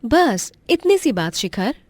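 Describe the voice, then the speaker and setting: A woman voicing a kind, resourceful young married woman in a cartoon story, polite and expressive. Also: on the high side, slow, no room sound, clean studio sound, voice pushed up, exaggerated voice. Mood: helpful.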